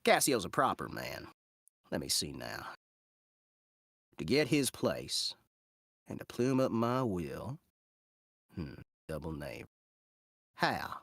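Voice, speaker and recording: Male actor as plotting Shakespearean villain, drawling mock Southern, animated, savoring every scheme. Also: low-pitched, vocal fry, husky, no echo, clean studio sound, pitched below the performer's own voice, heavily acted. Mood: scheming. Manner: drawling, deliberate, musing aloud. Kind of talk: theatrical Shakespeare monologue.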